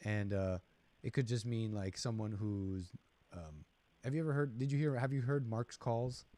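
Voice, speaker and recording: A man's voice: fairly low pitch, moderate pace, warm tone. A man hosting a call-in show, talking casually and thoughtfully, hedging his points with many fillers.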